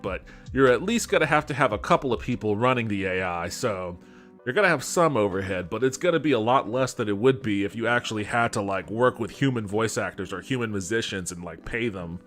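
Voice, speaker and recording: A man's voice: mid-range voice, brisk, fairly bright tone, soft background music. A man giving casual, off-the-cuff commentary as a streaming host, with a sardonic, skeptical way of talking and some swearing. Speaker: host of a gaming podcast and stream.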